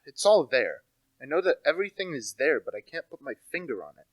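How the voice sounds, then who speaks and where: A young man acting a thoughtful amateur detective in a radio play, speaking in a deliberate, pondering way. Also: a little high, sing-song, no room sound, poor audio. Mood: frustrated, puzzled. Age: young adult. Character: thoughtful male amateur detective, puzzling and terse.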